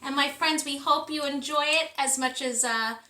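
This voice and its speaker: A woman reading a storybook aloud to preschoolers, encouraging and pausing to ask young listeners questions. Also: sits high, sharp tone. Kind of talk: animated children's storybook reading. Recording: echoey room.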